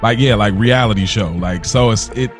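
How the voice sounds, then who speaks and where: Low-voiced man in casual podcast chat. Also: normal speed, soft background music. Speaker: podcast co-host.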